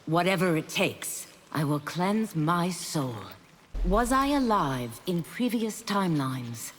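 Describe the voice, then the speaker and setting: Grave female spiritual seeker, lowish voice, expressive, game dialogue in long reverb. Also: slow, a little hiss or hum. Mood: earnest, grave. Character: earnest, determined female penitent seeking absolution. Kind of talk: video game character dialogue.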